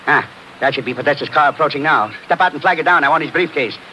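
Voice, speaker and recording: An actor playing a menacing, scornful older spy ringleader in a 1950s radio adventure, speaking in formal commands. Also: male voice, on the high side, quick pace, pushed and bright, poor audio. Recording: old radio recording with faint noise.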